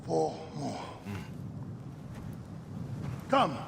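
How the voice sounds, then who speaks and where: An actor playing a hearty, loyal warrior companion in a game cutscene, expressive, familiar and gravelly. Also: male voice, on the high side, very slow, heavily acted. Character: hearty, loyal male warrior friend, welcoming and teasing. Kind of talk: video game cutscene acting. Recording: long reverb, clearly noisy background.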